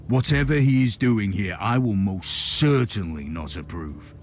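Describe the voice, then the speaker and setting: An actor playing a stern, zealous imperial inquisitor in a video game, speaking in a formal, righteous, declamatory way. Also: male voice, on the low side, expressive, a little slow. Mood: stern, measured. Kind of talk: video game cutscene dialogue. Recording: loud music behind the voice. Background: loud game music.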